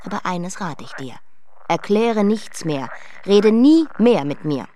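A woman's voice: medium pitch, animated, measured pace, lightly acted. A woman playing a proud, headstrong queen in a German radio play, speaking in emphatic, declamatory phrases. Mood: cold, commanding. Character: headstrong, imperious young queen, proud and evasive.

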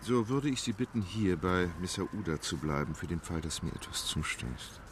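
An actor in a radio drama playing a calm, resolute English gentleman with measured, formal speech. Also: low-pitched, background noise.

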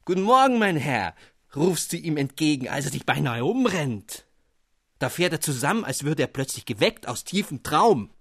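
A male narrator reads an old literary fairy tale aloud for broadcast, speaking straight to the listener. His voice is slightly husky and swings widely in pitch, and the sound is close and dry. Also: normal speed, dry recording. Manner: expressive storytelling, addressing the reader.

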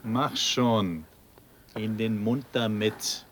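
A man's voice: fairly low pitch, steady tone, extremely slow, noisy background. An actor playing a curt military man who gives commands in a radio drama. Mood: stern, impatient. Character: curt male military figure barking orders at prisoner.

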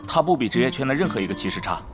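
一名男演员在广播剧中饰演忠心、爱猜测的公会副手，说话恭敬而生动。. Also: male voice, medium pitch, big pitch swings, racing, music in the background, close to an everyday voice. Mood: speculating. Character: loyal, eager male guild lieutenant, speculative sidekick. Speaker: voice actor. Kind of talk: Chinese audio drama acting.

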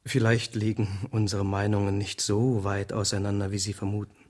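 A man's voice: on the low side, level tone, close to an everyday voice. An actor playing an intense, courteous male activist leader in a German radio drama, formal and insistent. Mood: courteous, controlled. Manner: formal and measured, insistent with emphatic repeats.

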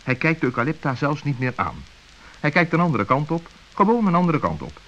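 A man narrating a scripted children's story in an expressive, suspenseful way. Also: on the high side, fairly quick. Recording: muffled, faintly hissy vintage recording.

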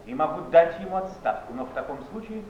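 A man's voice: fairly high pitch, lively intonation, quick pace, natural voice. An actor playing a cold, imperious man of authority with curt, haughty stage delivery. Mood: cold, dismissive. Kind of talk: theatrical stage acting. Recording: echoey, noisy, dull stage recording.

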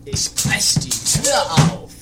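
A woman's voice: fairly low pitch, sing-song, slow, loud music behind the voice. A woman playing a bumbling, boastful policeman who stammers and repeats pompously, in an anarchic comedy radio play. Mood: boastful, straining. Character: bumbling, boastful male police officer, easily flustered.

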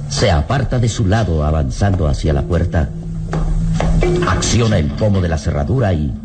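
Male narrator for a vintage radio serial: low, solemn, dramatic, deliberate, over loud music. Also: animated.

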